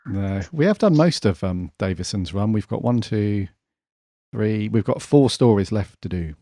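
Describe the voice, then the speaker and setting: A man's voice: lowish voice, expressive, moderate pace, dark tone, no room sound, clean studio sound. A British man chatting casually and in a laid-back way as a podcast co-host. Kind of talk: casual chat.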